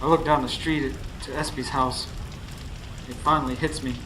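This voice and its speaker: A man's voice: medium pitch, fairly even intonation, normal speed, lightly acted. An actor playing an earnest, weary young stable hand who narrates his own story in a radio drama. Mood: reflective. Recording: live stage recording, faint background noise.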